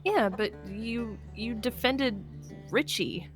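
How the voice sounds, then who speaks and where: A woman playing a hesitant, hopeful young apocalypse survivor in an improvised game roleplay, speaking haltingly and trailing off. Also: fairly high pitch, over music. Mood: hesitant, earnest.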